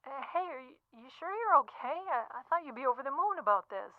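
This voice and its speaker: An actress playing a brisk, upbeat, pushy literary agent in an audio drama, chatting breezily and cajoling in slang through a telephone filter. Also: female voice, high voice, big pitch swings, no echo. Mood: excited, cajoling. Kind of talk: audio drama acting, chatty phone-call delivery. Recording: tinny, narrow phone-line sound.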